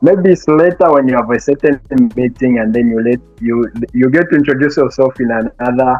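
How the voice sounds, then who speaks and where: A young man from Zambia, a guest in an interview, speaking thoughtfully with many pauses and restarts. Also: medium pitch, darkish tone, no room sound, muffled recording, soft background music. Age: young adult. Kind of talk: casual interview talk.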